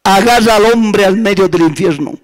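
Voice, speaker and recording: A man's voice: high-pitched, normal speed. A man with an Andean Spanish accent preaching a fervent storytelling sermon, dramatic and repetitive, putting rhetorical questions to his listeners.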